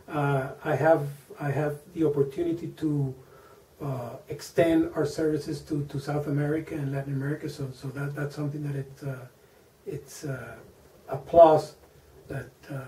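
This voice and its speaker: A man's voice: fairly high pitch, warm tone. Hesitant Venezuelan-accented man, echoey room. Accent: Venezuelan Spanish. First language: Spanish. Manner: hesitant, many fillers and repeated words. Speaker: interview guest, engineer. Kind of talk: interview answers. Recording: echoey room, mic at a distance.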